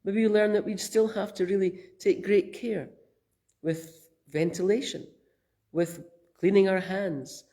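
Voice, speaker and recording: A Scottish woman minister speaks in a gentle, conversational way, her mellow voice roughened and croaky from a summer cold. She takes her time, musing aloud like a quiet sermon. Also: medium pitch, steady tone, a little slow. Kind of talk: reflective sermon.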